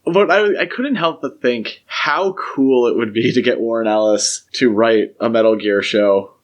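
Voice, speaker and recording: A man's voice: medium pitch, measured pace, dull audio. A man co-hosting a podcast, talking casually and keenly as a fan in loose, hedging conversation. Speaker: podcast co-host.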